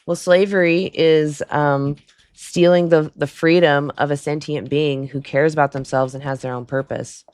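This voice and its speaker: A woman with a low, raspy, hoarse voice hosts a live call-in stream. She speaks in a loose, chatty way, laughs between points and answers her chat as she goes. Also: fairly even intonation, normal speed, a little mellow. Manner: chatty, laughs often, talks back to chat. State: hoarse throat. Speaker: livestream call-in host. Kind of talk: casual livestream chat with callers.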